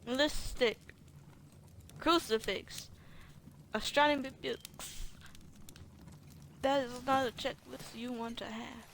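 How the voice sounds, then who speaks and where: A woman's voice: fairly high pitch, fairly even intonation, takes it slowly, vocal fry, pauses often, fairly bright tone, a little hiss or hum. A woman doing casual game playthrough commentary, speaking colloquially, reacting and reading aloud as she plays. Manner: colloquial, talks back to the game. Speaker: gaming streamer playing through a horror game.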